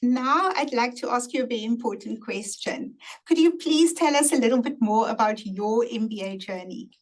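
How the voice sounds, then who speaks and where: A woman's voice: a little high, normal speed, rough recording. A woman moderating a university webinar over a video call. She politely thanks speakers and asks them prepared questions.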